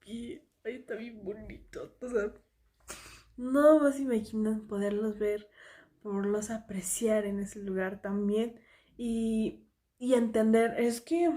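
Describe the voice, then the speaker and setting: Mexican woman, highish voice, reaction host, slangy and unscripted, circles back on her phrases. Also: dark tone. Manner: informal, slangy, hesitant and repetitive. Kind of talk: casual off-the-cuff reaction commentary.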